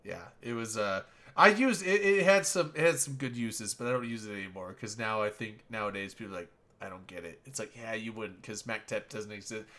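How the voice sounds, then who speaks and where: A man's voice: lowish voice, fairly quick, bright, forceful tone. A man co-hosting a casual gaming podcast, rambling through a story and swearing casually.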